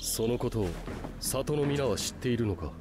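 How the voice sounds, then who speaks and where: A man playing a grave, composed ninja in an anime game, calm, terse and authoritative. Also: mid-range voice, moderate pace, close to an everyday voice. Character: grave, composed male ninja, guarded elder brother. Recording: game dialogue over music.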